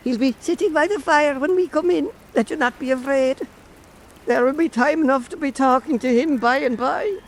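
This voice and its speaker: An actor playing a friendly, plain-spoken Irish islander in a radio drama, with an Irish accent. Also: male voice, very high-pitched, normal speed. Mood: reassuring.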